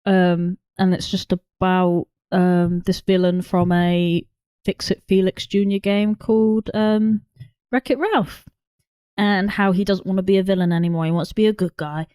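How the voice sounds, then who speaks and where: A woman's voice: on the low side, steady tone, measured pace, mellow, clean tone, no echo. Casual woman podcaster, close dry sound. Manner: informal, sweary. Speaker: podcast co-host. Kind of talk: casual film discussion.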